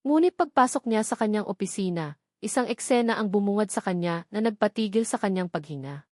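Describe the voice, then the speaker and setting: A woman's voice: medium pitch, lively intonation, brisk, darkish tone, no echo, clean studio sound. A woman reading a scripted romance story as its narrator.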